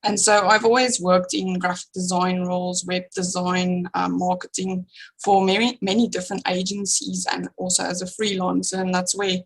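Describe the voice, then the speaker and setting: A woman with a strong South African accent talks as a podcast guest, upbeat and warm, laughing between thoughts. Her voice carries a noticeable gravelly fry. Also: lowish voice, little pitch movement, normal speed. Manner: warm, enthusiastic, laughs often. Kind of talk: casual interview chat.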